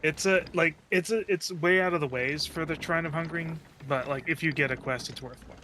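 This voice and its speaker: A man's voice: a little high, a little fast, pushed and bright. Informal man explaining things over background noise. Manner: explains game details informally. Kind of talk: casual chat while gaming.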